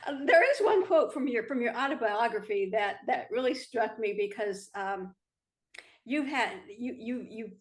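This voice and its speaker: A woman's voice: on the high side, animated, moderate pace. A woman hosting a TV interview, asking eager, admiring questions. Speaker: interview host.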